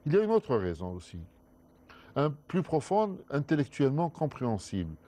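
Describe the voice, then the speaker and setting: A man, a guest scholar in a studio discussion, explaining at length in a measured way with frequent restarts. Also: on the high side, huge pitch swings, warm tone.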